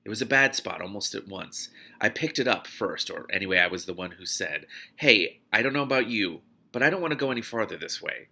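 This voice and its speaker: Bright-voiced man reading a novel aloud. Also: on the low side, brisk, vocal fry. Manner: animated, breaks off to react excitedly. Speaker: host of a read-aloud book series. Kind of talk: expressive novel reading with spontaneous reactions.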